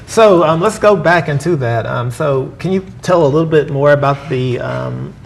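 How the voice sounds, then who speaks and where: A man's voice: fairly high pitch, normal speed, creaky, poor audio. A man hosting a casual interview show, upbeat and chatty, with many ums. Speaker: talk show host. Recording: faint background noise.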